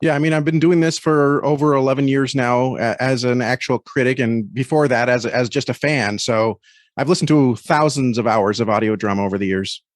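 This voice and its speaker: A man of medium pitch talks as a guest critic in a conversational interview. The recording is dry, with no room echo. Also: brisk. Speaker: podcast guest, audio drama critic. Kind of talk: interview chat.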